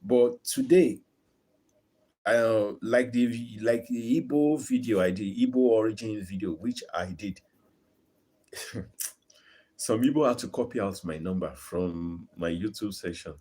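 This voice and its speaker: A man's voice: lowish voice, measured pace, long pauses, warm tone. A Nigerian man with a Yoruba accent, hosting his own channel and arguing a point earnestly to viewers, often repeating words as he builds it. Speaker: YouTube channel host. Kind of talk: live-streamed opinion talk to viewers.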